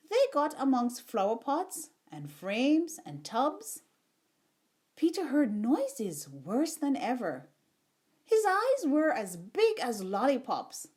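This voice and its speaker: A woman reading a children's storybook aloud in an expressive, unhurried storytelling manner. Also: a little high. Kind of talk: read-aloud storybook narration.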